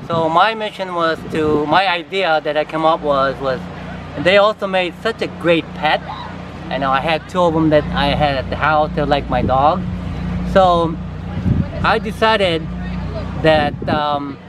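Older Korean-accented man, halting speech. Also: fairly high pitch. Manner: halting, many fillers and restarts. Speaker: reptile breeder and farm owner. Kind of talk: casual interview on camera. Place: outdoors beside reptile enclosures. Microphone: camera mic, close framing. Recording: outdoor recording with loud background noise.

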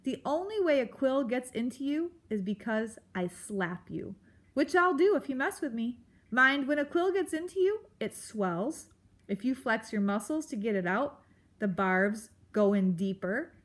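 A woman's voice: a little high, expressive, measured pace. A woman voicing a cantankerous old male storybook porcupine, blustering and exclaiming crude, colourful insults. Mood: gruff, grumbling.

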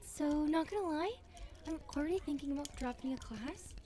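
Woman doing a anxious college grasshopper girl, really high mellow voice, chatty n unsure, asmr roleplay. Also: fairly quick, lightly acted. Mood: anxious, doubting. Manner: hesitant, chatty. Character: nervous, self-doubting young grasshopper girl starting university. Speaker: roleplay voice actress.

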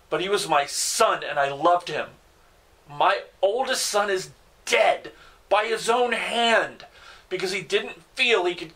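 High, tense-voiced grieving, defensive father played by a man. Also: pushed and bright, exaggerated voice. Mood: anguished, accusing. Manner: indignant, emphatic, rhetorical. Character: grieving, defensive father and provider, bitterly self-blaming. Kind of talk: dramatic stage monologue.

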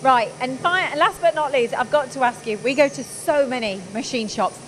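A woman's voice: sits high, normal speed, noisy background. A woman in her thirties interviewing a workshop owner on a factory floor with a handheld mic, upbeat and asking leading questions. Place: machine workshop indoors.

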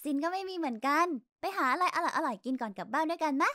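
A playful anime schoolgirl, acted by a woman, with a high voice that swoops up and down. She is teasing, coaxing and expressive. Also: quick pace, dry recording, studio quality. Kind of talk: anime-style voice pack acting.

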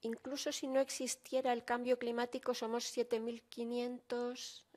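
A woman's voice: a little high, level tone, unhurried, a little mellow. A woman working as a simultaneous interpreter at a conference, relaying a speaker's words steadily and fluently with small fillers.

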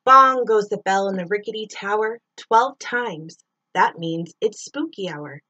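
A middle-aged woman doing an expressive read-aloud of a rhyming children's story, drawing out words and sound effects like a teacher reading to pupils. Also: mid-range voice, unhurried, dull audio.

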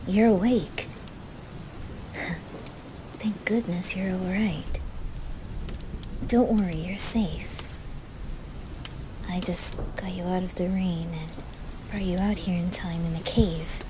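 A gentle anime boy, played by a woman, speaks slowly in a soft, breathy near-whisper. He is caring and hesitant, pausing often, in an ASMR roleplay with noisy rain ambience behind him. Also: on the low side, steady tone. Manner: hesitant, quiet, with pauses.

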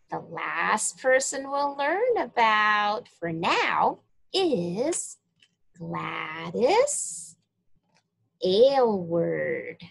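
A woman reading a Bible story aloud to young children, with deliberate, emphatic phrasing. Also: mid-range voice, gravelly, a bit bright, husky.

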